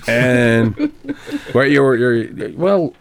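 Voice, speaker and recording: A middle-aged man hosting a radio interview in a studio, animated and rambling with many restarts. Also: mid-range voice, drawn-out words, crowd noise. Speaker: radio host.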